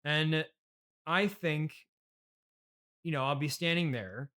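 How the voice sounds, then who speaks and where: A man's voice: a little high, slow, bright, forceful tone. A man hosting a casual comedy interview, speaking in a hesitant, flustered way with frequent stammering. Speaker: podcast host and interviewer.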